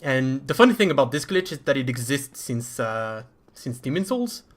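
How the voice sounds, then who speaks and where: A man's voice: mid-range voice, very animated, normal speed. A man with a French accent commentating live over his own speedrun in a chatty, self-deprecating way.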